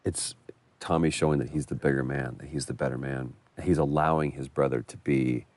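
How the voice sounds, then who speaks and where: A man's voice: extremely low pitch, steady tone, brisk, a little mellow. A man giving casual, reflective commentary on scenes from a video game. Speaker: commentator on a game's cutscenes.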